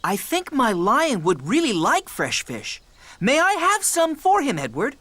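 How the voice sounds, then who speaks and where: An eager young boy steam engine from a children's cartoon. The delivery is very lively and swooping, polite and earnest, with an excitable edge. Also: fairly high pitch, a little slow, dry recording, heavily acted. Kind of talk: children's cartoon character acting.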